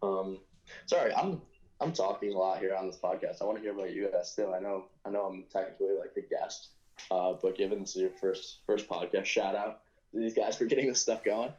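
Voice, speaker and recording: A young man with a New York accent talks low and loose as a podcast guest, rambling through lots of ums and self-corrections. His voice comes through dull and lo-fi, as if over a remote connection. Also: fairly quick, a little mellow. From United States. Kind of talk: casual conversation.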